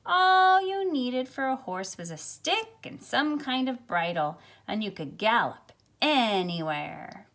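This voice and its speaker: A middle-aged woman, a teacher, speaking expressively and deliberately as if to young students during a picture book read-aloud. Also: on the high side, sing-song, a bit bright. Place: carpeted room at home, indoors. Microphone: phone at arm's length.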